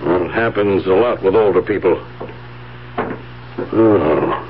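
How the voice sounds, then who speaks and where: A police officer who is matter-of-fact and businesslike. His voice is on the low side, in 1970s radio drama style, on a dull, slightly noisy recording. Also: measured pace.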